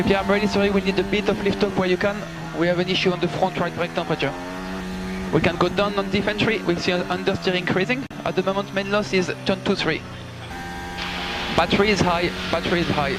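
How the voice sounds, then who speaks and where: Higher-pitched male race engineer over team radio. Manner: clipped, repeats instructions. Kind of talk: terse team radio instructions. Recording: motorsport radio with loud background sound.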